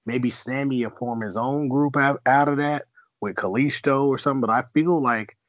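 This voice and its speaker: A man's voice: medium pitch, normal speed, no room sound, muffled recording. A man co-hosting a podcast in casual chat, blunt and opinionated, swearing freely and mocking bits he dislikes. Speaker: podcast co-host.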